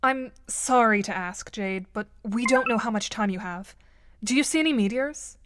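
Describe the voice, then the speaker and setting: A woman's voice: on the high side, moderate pace, background noise, close to an everyday voice. A woman playing a composed, articulate but worried teenage girl with formal, dry wording in an amateur audio drama. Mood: composed, concerned.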